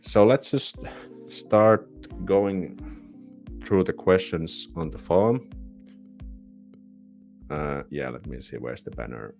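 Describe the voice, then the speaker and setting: A man's voice: deep voice, expressive, slow pace, long pauses, a little mellow, soft background music. A man with a Finnish accent hosting a casual live-stream Q&A, chatty and rambling with false starts and self-deprecating asides. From Finland.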